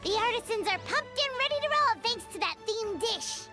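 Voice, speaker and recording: A woman voicing a small childlike fairy companion in an anime game, bubbly, eager and squeaky. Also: normal speed, over music. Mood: excited, upbeat. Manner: excitable, refers to self in third person. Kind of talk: anime video game voice acting.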